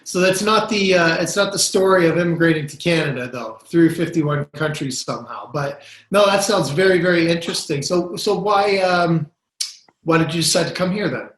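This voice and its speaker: A man's voice: fairly high pitch, moderate pace, a bit bright, reverberant, rough recording. A man hosting a podcast interview, asking questions with false starts and repeats. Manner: restarts his questions, "so, so". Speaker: podcast host.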